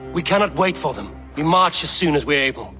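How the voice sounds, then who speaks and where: An actor playing a bold, determined young Viking leader in a video game, resolute and formal in speech. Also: male voice, on the high side, lively intonation, brisk, sharp tone, soft background music. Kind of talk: dramatic video game acting.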